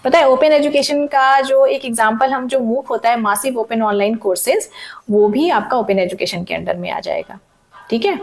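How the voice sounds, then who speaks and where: A woman teacher with a somewhat high voice walks students through exam questions, mixing languages and pausing to check they follow. Her throat is sore, so her voice cracks now and then. She reads each question slowly before explaining it. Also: creaky. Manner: frequent "theek hai" checks, reads questions slowly in English. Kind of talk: exam-prep lesson mixing Hindi and English.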